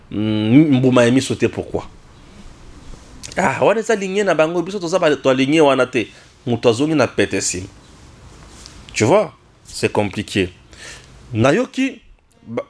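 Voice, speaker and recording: Male political commentator, Congolese accent, medium pitch, informal and emphatic, drives points home by repetition. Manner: emphatic, repeats phrases, asks rhetorical questions; switches between French and another language.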